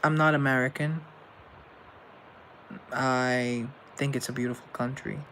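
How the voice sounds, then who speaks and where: A man's voice: medium pitch, fairly even intonation, measured pace, a bit bright, slight background noise, poor audio. A young man from Colombia hosting a casual live show, reading out chat questions and answering in a rambling, earnest way with self-deprecating asides.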